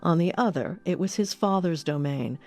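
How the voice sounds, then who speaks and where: Low-voiced woman narrating a documentary over music. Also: lively intonation, moderate pace, darkish tone.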